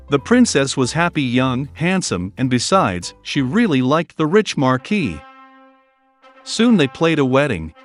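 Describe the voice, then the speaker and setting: Lively male fairy-tale narrator. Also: medium pitch. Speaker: storyteller. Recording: soft music behind the voice. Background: background music.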